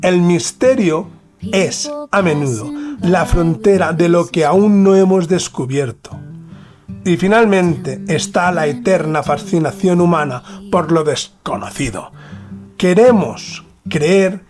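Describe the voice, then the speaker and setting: A man from narrates a scripted mystery documentary in a solemn, dramatic manner with deliberate pauses. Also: sits high, over music. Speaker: podcast host narrator.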